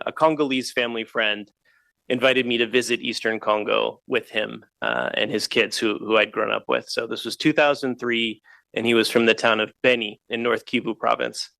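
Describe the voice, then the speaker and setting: A man from Kenya speaks on a video call with a rough, gravelly edge and a bright, forward tone. He pauses often and fills the gaps with "uh." The sound is dry and close, with no room around it. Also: mid-range voice, no echo. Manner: hesitant, frequent "uh" fillers. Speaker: panelist, founder of an energy company. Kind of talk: casual panel talk.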